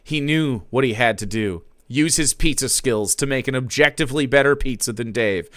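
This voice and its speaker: A man's voice: medium pitch, normal speed, fairly bright tone, no room sound, studio quality. Theatrical streamer man reading aloud. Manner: theatrical, breaks off to laugh and comment. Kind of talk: dramatic reading of fan fiction.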